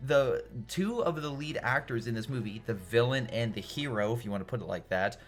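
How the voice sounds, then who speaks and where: A man's voice: mid-range voice, lively intonation, brisk. A man giving a casual, opinionated film review in an informal, emphatic, rambling way. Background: background music.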